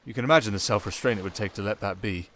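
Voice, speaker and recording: A man with a British accent playing a sardonic, softly dangerous male siren in an ASMR roleplay, with dry, theatrical sarcasm. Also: fairly low pitch, rapid-fire, lightly acted. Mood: softly menacing.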